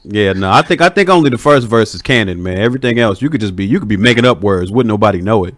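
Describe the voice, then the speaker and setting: An easygoing host speaks in a somewhat low voice. The sound is dry and close. He jokes around and draws his guests out with open questions. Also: lively intonation, quick pace, a bit bright, no room sound. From Chicago, US. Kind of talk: casual chat, asking guests questions.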